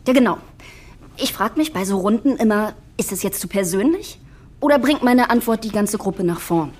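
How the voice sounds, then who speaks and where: A woman's voice: medium pitch, lively intonation, normal speed, darkish tone, breathy edge. A keen, open-minded marketing go-getter in a radio play. She has a mid-range voice and chatters on self-consciously, prone to oversharing. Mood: nervous, chatty. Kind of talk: German audio drama dialogue.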